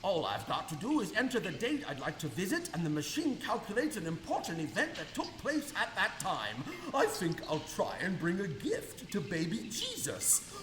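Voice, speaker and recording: An actor playing an excitable, bumbling, self-important old inventor in a radio play, theatrical and flustered. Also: male voice, high voice, moderate pace, hardly any pauses. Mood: gleeful, triumphant. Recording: roomy echoing hall sound, music behind.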